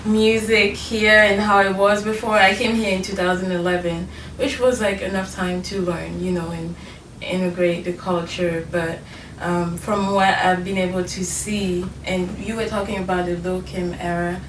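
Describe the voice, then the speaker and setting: A woman's voice: on the low side, level tone, moderate pace. A woman from Cameroon chatting casually as a podcast panelist. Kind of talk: casual chat. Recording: roomy, distant mic, faint noise.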